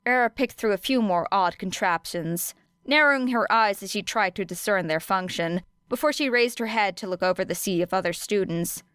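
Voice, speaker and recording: A woman's voice: medium pitch, normal speed, a bit bright, clean tone, no echo, studio quality. A woman reading scripted fiction as an audiobook narrator. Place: close, dry recording space.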